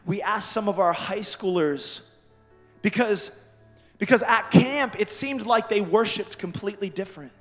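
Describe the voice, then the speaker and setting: A young male youth pastor preaching with a hoarse, worn-out voice that still sits fairly high. He talks energetically and keeps calling out to the crowd, with faint music underneath. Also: a little slow, fairly bright tone. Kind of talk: youth sermon.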